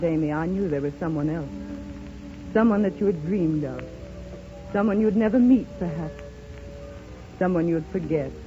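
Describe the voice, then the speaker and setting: An actress playing a blind, wistful, proud dreamer in a 1930s radio drama, speaking with theatrical emotion and deliberate pauses. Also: female voice, fairly low pitch, close to an everyday voice. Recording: old dull 1930s radio recording, music behind.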